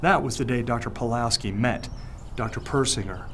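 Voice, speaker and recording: A man reading his own thriller story aloud, in a measured, even storytelling manner. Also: mid-range voice, slight background noise. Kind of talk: scripted narration of a thriller audiobook.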